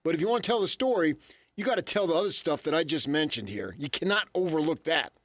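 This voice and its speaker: A man's voice: a little high, animated, fast, a bit bright, no room sound. A man co-hosting sports talk radio, arguing opinions emphatically and repeating his points.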